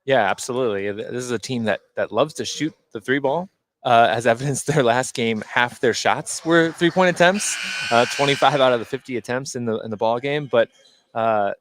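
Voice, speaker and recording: A man with a medium-pitched voice gives color commentary on live basketball. He talks conversationally and haltingly, working out his analysis as he goes.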